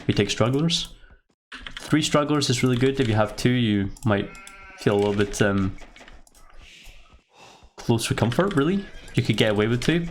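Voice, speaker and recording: A man with a stuffy, cold-affected voice gives casual step-by-step commentary as the host of a strategy game tutorial. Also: fairly low pitch. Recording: noisy mic with keyboard clicks. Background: keyboard typing and mouse clicks.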